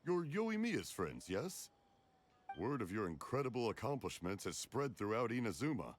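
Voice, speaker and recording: Fussing, animated older dad. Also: male voice, on the low side, moderate pace, slight background noise. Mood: friendly. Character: kindly, worrying older father, slightly hard of hearing. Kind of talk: anime video game voice acting.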